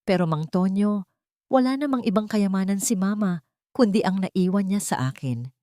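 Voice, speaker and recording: A woman's voice: medium pitch, lively intonation, moderate pace, dark tone, no room sound, clean studio sound, voice pushed up, close to an everyday voice. A woman voicing an anxious, devoted mother in a dramatic story, polite and earnest. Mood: uneasy, puzzled.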